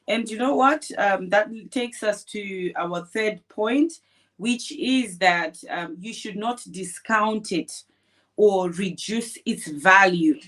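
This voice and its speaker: A woman's voice: medium pitch, slow pace, fairly bright tone. A woman co-hosting a conversation, talking reflectively about faith and self-worth with frequent fillers. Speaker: podcast co-host. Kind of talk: conversational faith-based advice talk.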